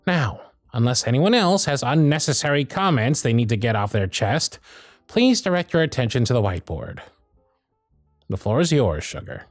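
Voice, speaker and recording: A man playing a bossy but fond elf workshop supervisor in an ASMR roleplay, brisk and teasing with soft asides. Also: medium pitch, big pitch swings, no room sound, studio quality, faint music behind, close to an everyday voice. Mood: stern, sardonic. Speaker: voice actor. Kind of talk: ASMR roleplay audio drama.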